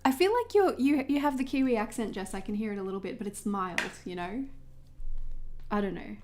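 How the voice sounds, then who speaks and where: A woman from northern New South Wales with an Australian accent, chatting casually and quickly with livestream viewers while she crafts, often giggling. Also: mid-range voice, darkish tone, a little hiss or hum. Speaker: journaling livestream host.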